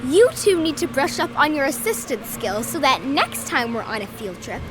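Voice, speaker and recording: Cocky, squeaky young schoolgirl scientist. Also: big pitch swings, moderate pace, over music. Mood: smug. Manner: boastful, dramatic, dismissive. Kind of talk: children's horror audio drama acting.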